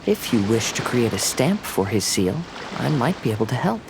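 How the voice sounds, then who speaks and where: Serene young wandering samurai. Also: male voice, medium pitch, big pitch swings, gravelly, background noise. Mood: thoughtful. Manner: serene, polite, measured. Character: calm, gentle young male wandering samurai. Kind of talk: anime game voice acting.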